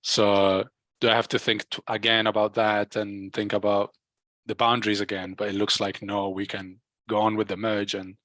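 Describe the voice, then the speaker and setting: A man in a casual technical work discussion, thinking aloud haltingly and often repeating words as he reasons. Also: lowish voice, level tone, fairly bright tone, no echo. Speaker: software engineer in a team meeting. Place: work call, close dry sound.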